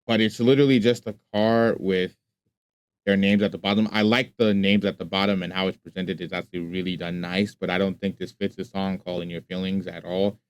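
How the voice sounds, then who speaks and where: A man's voice: low-pitched, steady tone, a little fast, smooth. A man hosting a music reaction video, talking casually, bluntly and with plenty of swearing. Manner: blunt and profane, speaks his mind.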